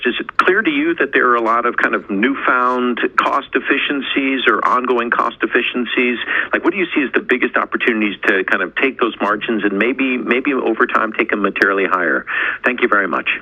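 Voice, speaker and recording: A man's voice: medium pitch, a little fast, pushed and bright, husky. A man asking questions as a financial analyst on an earnings call, over a lo-fi line. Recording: dull lo-fi phone-line sound.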